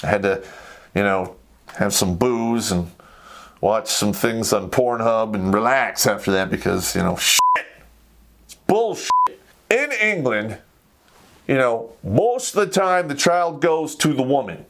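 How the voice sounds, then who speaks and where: A man's voice: mid-range voice, big pitch swings, unhurried, noisy background. Blunt American man on a rant. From United States. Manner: blunt, profane, conversational. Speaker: talk show host. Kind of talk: live opinionated rant to camera.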